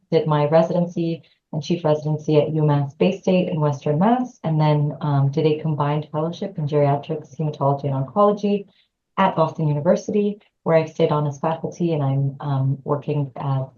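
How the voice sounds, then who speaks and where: A woman from Lebanon speaks as an interview guest over dull, lo-fi remote call audio. Her voice is low and mellow. She pauses on small hesitant fillers and sounds thoughtful. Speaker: assistant professor, guest. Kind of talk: casual interview answers.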